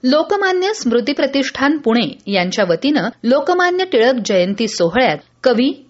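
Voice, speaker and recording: A woman from presenting a scripted radio newsreel in a formal, measured way. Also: a little high, highs cut off. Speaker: news presenter.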